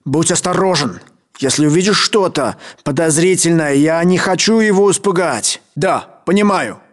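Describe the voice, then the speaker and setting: A man's voice: on the high side, normal speed, natural voice. A man playing a Russian soldier on base duty in a military video game. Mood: businesslike.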